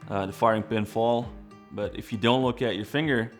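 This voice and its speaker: A man from Belgium, an engineer, telling his own story in a relaxed, reflective way with fillers and restarts. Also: fairly low pitch, moderate pace. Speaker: trigger design engineer. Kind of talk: documentary-style personal narration. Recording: music bed underneath. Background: guitar music.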